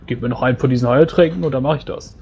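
A man's voice: medium pitch, rapid-fire, vocal fry, a little mellow, lo-fi sound, a little hiss or hum. A man playing a tabletop role-playing game and talking casually.

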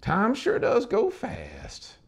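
A man's voice: high voice, huge pitch swings, slow pace, creaky, slightly rough, voice pushed up, heavily acted. A man voicing a folksy small-town housewife in an audiobook, chatting in a homely way. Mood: chatty. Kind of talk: dramatic audiobook reading.

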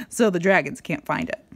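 A woman reading a picture book aloud to a young child in a playful, expressive way with dramatic emphasis. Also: lowish voice, slightly rough. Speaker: storybook reader.

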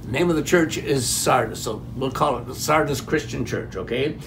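A man in his sixties, a pastor, preaching an animated, folksy sermon from a room at home, often stopping to ask "okay?". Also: mid-range voice, moderate pace, a little hiss or hum. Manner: animated, gesturing with raised hands. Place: home room before a decorated fireplace.

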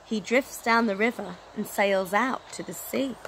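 A woman in her thirties reading a children's story aloud in an animated, dramatic way, recorded outdoors. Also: medium pitch, measured pace, low-quality recording. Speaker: storytelling teacher. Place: outdoors on an open wooden deck. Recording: outdoor recording, clear background noise.

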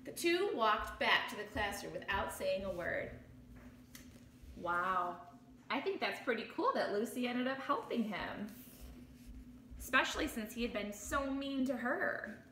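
A woman with a bright, fairly high voice reads a picture book to children like a teacher. She is expressive and explanatory. The recording is roomy, made in a living room, with faint noise behind her. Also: unhurried. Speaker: teacher reading aloud. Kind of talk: children's picture book read-aloud with commentary. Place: small living room, indoors.

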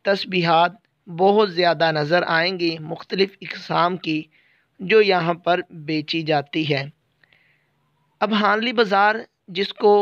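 A man with a Pakistani Urdu accent guiding a walking tour of a bazaar, explaining slowly and steadily while filming on a phone. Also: high voice, clean tone, dry recording, highs cut off. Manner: slow, measured guide-like explaining. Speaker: travel vlogger. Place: small shops in a bazaar, indoors and out.

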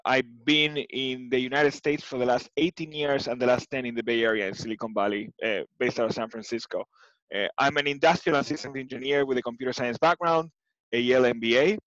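A man with an Argentine accent and Spanish as his first language talks as an interview guest. His mid-pitched voice moves haltingly, repeating words as he goes. The sound is dry and a little muffled, as from a headset on a video call. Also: a bit bright, no echo. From Buenos Aires, Argentina. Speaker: interview guest, tech executive. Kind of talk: conversational interview answers. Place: living room at home, indoors. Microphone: headset with boom mic, video call.

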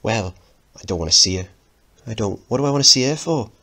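Man as a moody, high-pitched teenage boy. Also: lively intonation, fairly quick, sharp tone, exaggerated voice. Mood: stubborn. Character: moody, defensive fourteen-year-old working-class boy. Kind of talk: dramatic play reading.

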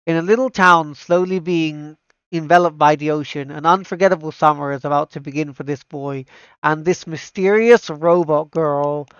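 A man's voice: fairly high pitch, moderate pace, fairly bright tone, smooth, no echo, highs cut off. A man doing casual livestream commentary as an anime fan. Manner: enthusiastic, repeats himself. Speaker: streamer reacting to anime trailers.

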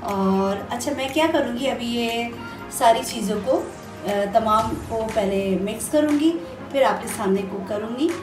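A woman's voice: fairly high pitch, a little slow, reverberant, music in the background. A woman from Pakistan giving chatty step-by-step cooking instructions in her home kitchen, often checking in with her viewers. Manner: chatty, with many fillers and "thik hai" checks. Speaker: cooking vlogger.

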